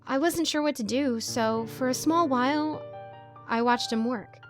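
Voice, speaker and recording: Higher-voiced woman narrating a story over music. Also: measured pace.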